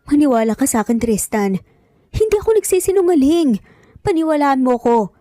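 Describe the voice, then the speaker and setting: A woman's voice: high voice, very animated, fairly quick, mellow, no room sound, clean studio sound. A woman voicing a frightened, tearful young woman who pleads insistently in an audio romance drama. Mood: insistent pleading. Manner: pleading, emphatic.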